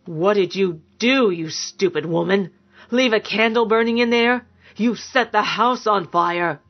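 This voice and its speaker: A woman's voice: mid-range voice, a little slow, highs cut off. A woman voicing a big, bullying, angry man with a growling, contemptuous manner in a dramatised story. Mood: accusing. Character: big brutish angry man, abusive sneering lover. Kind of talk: dramatised fiction narration.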